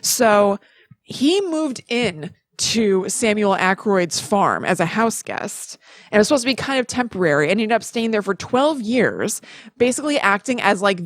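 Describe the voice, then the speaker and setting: A woman's voice: mid-range voice, no echo, studio quality. A woman co-hosting a podcast, telling stories in a casual, chatty way and correcting herself as she goes. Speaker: podcast co-host. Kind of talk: casual conversational storytelling.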